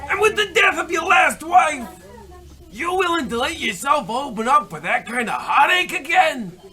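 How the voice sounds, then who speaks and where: Shouty, sharp-voiced mobster heavy for a radio comedy, animated and melodramatic. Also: high voice, normal speed, full character voice. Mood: incredulous. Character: loud theatrical mobster debt collector, gang boss's henchman. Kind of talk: old-time radio comedy acting.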